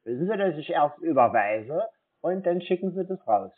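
A man with a slightly high voice plays the customer on a phone call. He sounds naive and keeps asking questions persistently. The audio is narrow and phone-like. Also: expressive, normal speed, breathy edge. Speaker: prank caller posing as customer. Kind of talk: phone conversation. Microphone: telephone.

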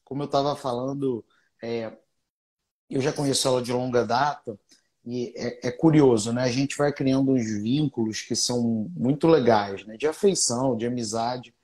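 A man in his thirties hosts a casual interview from a small office. His voice is mellow and relaxed as he speaks warmly into an earphone mic, repeating words for emphasis. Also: medium pitch, normal speed. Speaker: host, interviewer. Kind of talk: casual video-call interview. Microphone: earphone headset mic on video call.

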